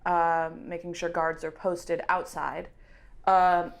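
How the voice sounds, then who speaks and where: A woman's voice: fairly low pitch, little pitch movement, slow, creaky. A woman playing a tabletop game, deliberating aloud in casual discussion.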